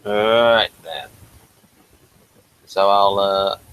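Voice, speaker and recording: A man hosting a casual online review chat, speaking in a hesitant, halting way. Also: lowish voice, a bit bright, clear, resonant tone, rough recording. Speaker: host of a review channel.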